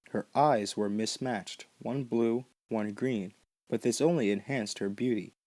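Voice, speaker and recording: A man's voice: mid-range voice, a little mellow, no echo. A man hosting a podcast, giving scripted but conversational explanatory commentary. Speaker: podcast host.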